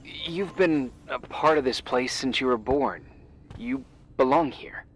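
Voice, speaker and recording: A man's voice: medium pitch, a little slow, fairly bright tone, lightly acted. An actor playing an awkward, earnest young man in a video game, speaking hesitantly and helpfully as a voice on a phone. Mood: teasing, warm. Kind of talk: naturalistic video game voice acting.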